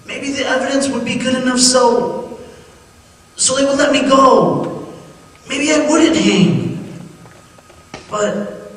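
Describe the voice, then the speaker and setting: Echoey, high-voiced condemned man. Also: slow, long pauses, fairly bright tone, full character voice. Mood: brooding, grasping hope. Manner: pleading, dramatic. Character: condemned man in a death cell, desperate, haunted. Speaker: actor and narrator in a radio play. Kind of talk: old-time radio theatre acting, first-person narration. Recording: distant echoey hall, long reverb, faint music.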